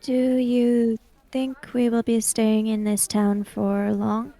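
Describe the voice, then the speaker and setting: A woman's voice: fairly high pitch, monotone, slow, mellow, no echo. A woman playing a reserved, hesitant young country woman traveller in a tabletop roleplaying game.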